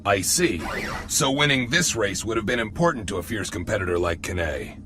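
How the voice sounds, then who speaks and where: A man voicing a calm, noble and authoritative robot leader in an animated cartoon dub. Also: lowish voice, brisk, noisy background, natural voice. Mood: thoughtful. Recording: music behind the voice.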